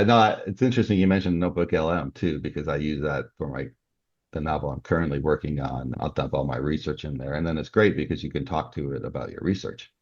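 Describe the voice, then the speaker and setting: A man's voice: a bass voice, darkish tone, husky. An older man talking conversationally as an interview guest, explaining his own process. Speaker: podcast guest, novelist. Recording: dull, band-limited audio.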